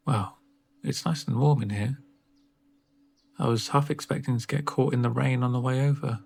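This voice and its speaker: A man speaking softly in an ASMR roleplay as a doctor, with a gentle, reassuring, unhurried manner and a breathy voice. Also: medium pitch, steady tone. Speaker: ASMR performer playing a doctor. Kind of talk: soft-spoken ASMR roleplay.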